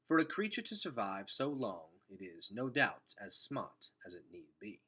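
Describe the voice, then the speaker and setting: A man voicing a wise, patient male elder with formal, deliberate speech in a children's storybook. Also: fairly low pitch, very animated, bright, forceful tone, husky, exaggerated voice. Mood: thoughtful. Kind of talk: children's novel read-aloud.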